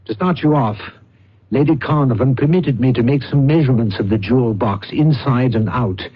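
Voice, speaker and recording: An actor playing a suave, pompous swindler who poses as a psychic detective, with precise theatrical delivery in a radio drama. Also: male voice, mid-range voice, moderate pace, slightly rough, natural voice. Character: suave, pompous male con-man posing as psychic detective. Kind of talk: old-time radio drama acting. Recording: muffled, hissy old radio recording.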